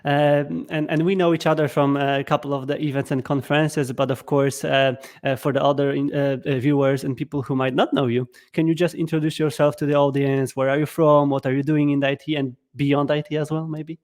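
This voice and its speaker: A man hosts an interview show, upbeat and chatty. His voice sits a little higher than usual for a man. He stumbles now and then, repeating small words. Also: little pitch movement, fairly quick, few pauses, a little mellow. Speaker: show host. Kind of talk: casual interview hosting with a scripted intro.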